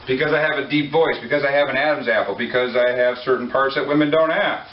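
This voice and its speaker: A man's voice: mid-range voice, fast. A man preaching a sermon in a conversational way, joking and putting questions to his listeners. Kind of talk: sermon to a congregation. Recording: echoey room, far from mic, dull lo-fi.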